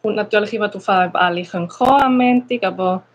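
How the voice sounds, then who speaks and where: A woman's voice: mid-range voice, fast talker, lo-fi sound, slight background noise. Brief: Schaffhausen-accented woman teacher, agreeable and casual, hesitant fillers. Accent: Schaffhausen Swiss German with some Zurich words. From Schaffhausen, Switzerland. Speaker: conversation class teacher. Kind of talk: casual chat.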